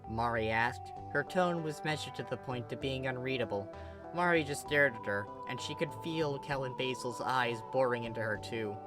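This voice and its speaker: A woman reading fan fiction as scripted narration, in an expressive, dramatic manner. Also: extremely low pitch, normal speed, over music. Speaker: story reader.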